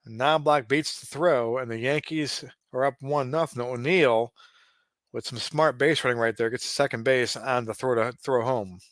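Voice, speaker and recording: Man, late forties, casual baseball play-by-play, chatty asides, mid-pitched, dry close mic. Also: normal speed, no echo. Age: 40s. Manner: relaxed, chatty, given to asides and small restarts. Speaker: game streamer narrating a simulated baseball game.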